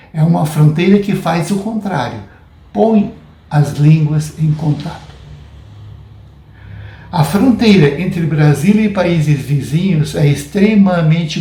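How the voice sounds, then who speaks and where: A man with a Brazilian Portuguese accent giving a prepared academic lecture in a deliberate, measured, didactic way. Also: fairly high pitch, mellow. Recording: roomy, echoing sound.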